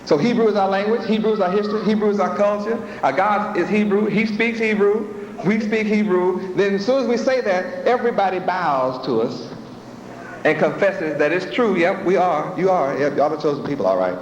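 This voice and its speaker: High-voiced preacher in an echoey hall. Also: male voice, fairly quick, a little hiss or hum. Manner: rhetorical questions, repetitive preaching cadence. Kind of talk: sermon with acted dialogues. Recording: echoey hall, dull lo-fi sound.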